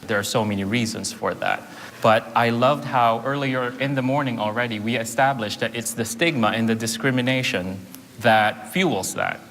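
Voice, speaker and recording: A man's voice: medium pitch, moderate pace, fairly bright tone. A man explaining things conversationally in a documentary interview. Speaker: conference participant. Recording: reverberant hall with background ambience.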